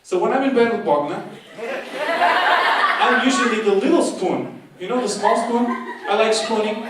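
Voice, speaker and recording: A young man holds the stage in a chatty, playful stand-up set and pokes fun at himself. His voice sits a little high and booms around a big echoey hall, heard from some distance with noise behind it. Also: animated, moderate pace. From half Portuguese, half Argentinian. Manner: chatty, playful, self-mocking, talks to the audience. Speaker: stand-up comedian and course leader. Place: live comedy show in a hall. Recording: echoey hall from far off, noisy. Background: audience laughter.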